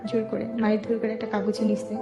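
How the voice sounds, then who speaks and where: A woman's voice: medium pitch, completely flat delivery, quick pace. A woman with a Bangladeshi accent giving personal testimony in colloquial, conversational speech, narrating step by step and quoting what people said. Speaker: interview guest telling her life story. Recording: echoey room with music underneath. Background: background music.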